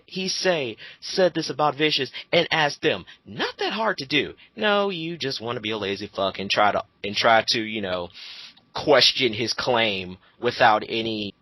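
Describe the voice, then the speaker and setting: A man rants casually with a combative, sarcastic bite. His pitch swings widely and his tone is sharp and forceful. The sound is very dry and he swears freely. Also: expressive, normal speed, slightly rough, no echo. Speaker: co-host commenting. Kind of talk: casual ranting commentary.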